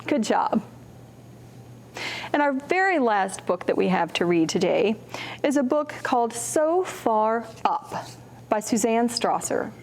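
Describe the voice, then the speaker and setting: A woman's voice: on the high side, slow pace, long pauses. A woman hosting a children's storytime, reading picture books aloud expressively and putting simple questions to her young listeners. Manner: expressive, acts out contrasts with her voice. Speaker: children's storytime host, librarian.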